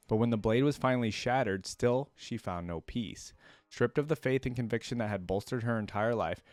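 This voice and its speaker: A man's voice: fairly low pitch, no room sound. A man hosting a reaction video, reading lore aloud and adding casual commentary.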